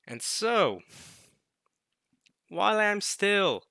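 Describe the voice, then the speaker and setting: A man in his late teens chatting casually to viewers as a video host, jokey and animated, repeating words in bursts as he rambles. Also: sits high, extremely slow, creaky, no echo, studio quality. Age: late teens, turning twenty. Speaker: host of a video series reading a visual novel.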